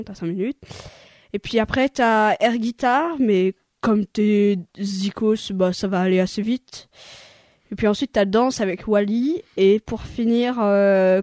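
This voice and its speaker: A woman voices a harried production coordinator in a homemade radio play, rattling off a schedule while padding her speech with fillers. Her voice sits at a middle pitch and sounds close and dry. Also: darkish tone, no room sound, natural voice. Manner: rambling, full of fillers like "euh" and "hein". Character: hurried female production coordinator briefing an actor. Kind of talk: amateur radio play acting.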